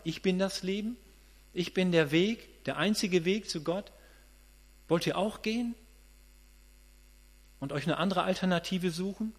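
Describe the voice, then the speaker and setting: Man in his fifties, sermon, earnest and conversational, higher voice, long hall reverb, mains hum. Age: 50s. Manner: earnest, conversational, repeats words as he thinks aloud. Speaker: preacher.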